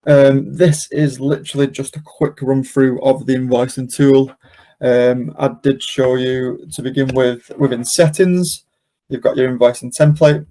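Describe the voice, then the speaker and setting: A man presenting an informal step-by-step software tutorial, explaining as he goes in an unscripted, hesitant way with frequent ums. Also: medium pitch, level tone, a little slow. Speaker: presenter of a software demonstration webinar.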